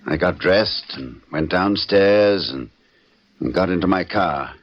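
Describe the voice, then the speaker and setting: An actor playing a troubled, darkly wry husband in an old-time radio drama, confessing in a theatrical, halting way. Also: male voice, sits low, expressive, heavily acted. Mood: shaken, reflective. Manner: theatrical, halting with repeated words. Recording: dull vintage radio recording with music.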